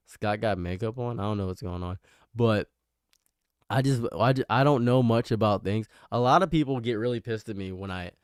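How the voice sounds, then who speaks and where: A man's voice: fairly low pitch, moderate pace, clean tone, no echo, clean studio sound. A young man with a nasal voice, rambling and self-mocking as he chats with his live stream viewers. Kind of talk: casual live stream chat.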